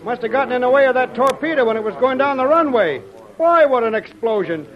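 An actor playing an eager, excitable soldier making a report in a vintage radio serial. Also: male voice, extremely high pitch, fast. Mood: excited. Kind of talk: 1930s radio serial acting. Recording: muffled vintage radio recording.

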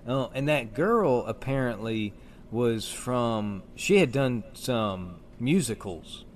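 A man's voice: medium pitch, expressive, takes it slowly. Casual man podcasting, faint background noise. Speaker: podcast host. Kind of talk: casual chat.

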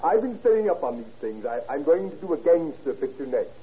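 An actor playing a self-important amateur sleuth, officious and musing, in old-time radio drama style. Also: male voice, a little high, very animated, fairly quick, warm tone. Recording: muffled vintage radio with faint noise.

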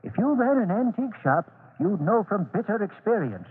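Shifty, fussy old antique dealer, animated and hesitant, tinny vintage radio. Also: male voice, high voice, normal speed, slightly rough, exaggerated voice. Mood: genial, sly. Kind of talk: old radio serial acting.

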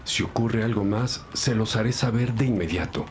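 A man's voice: on the low side, moderate pace, slight background noise, natural voice. A man playing a polite, nervous building worker in his sixties who gives testimony in an audio drama. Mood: worried, courteous. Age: 60s. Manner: polite, deferential.

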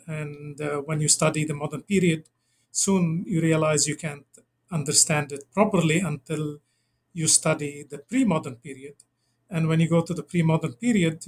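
Brief: man, scholar guest, higher-pitched, hesitant delivery. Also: slightly rough. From Lebanon. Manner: halting, many fillers and restarts. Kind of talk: interview answer.

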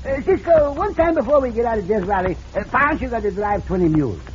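An actor in an old radio western playing a childlike, excitable Mexican sidekick who speaks broken English and exclaims a lot. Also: male voice, extremely high pitch, quick pace. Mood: eager, pleading. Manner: broken English, exclaiming, refers to himself by name. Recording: muffled, noisy vintage radio recording.

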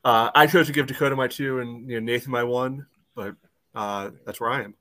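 A man's voice: medium pitch, steady tone, a little fast, bright, forceful tone. Chatty man co-hosting a sports podcast. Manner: chatty, peppers talk with "you know". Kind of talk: casual sports discussion.

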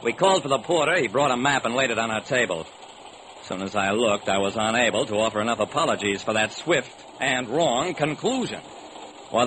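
Animated, wry radio detective. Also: male voice, a little high. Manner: theatrical, sing-song rhyming delivery. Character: wry rhyming private detective telling his own case. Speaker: narrator and lead actor. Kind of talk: old-time radio detective acting, rhyming scripted narration. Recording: noisy vintage radio recording.

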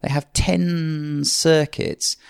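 Gravelly podcast guest. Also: male voice, fairly high pitch, level tone, extremely slow, no echo, studio quality. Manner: stammering restarts, wry. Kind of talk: casual podcast chat.

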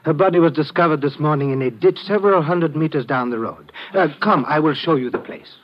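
An actor playing a formal, precise police lieutenant in an old-time radio drama, speaking in a measured, deliberate manner. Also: male voice, on the high side, lightly acted. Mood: grave. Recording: muffled, noisy vintage radio recording.

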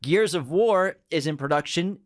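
A man's voice: a little high, moderate pace, dry recording, clean studio sound. Man rundowns movie news casually. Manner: lists upcoming projects, self-correcting, playful. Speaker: podcast co-host.

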